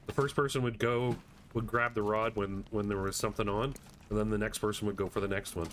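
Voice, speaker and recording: Low-voiced man streaming a game over noise. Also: fairly even intonation, normal speed, fairly bright tone. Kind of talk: casual chat while gaming. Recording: clear background noise and game ambience.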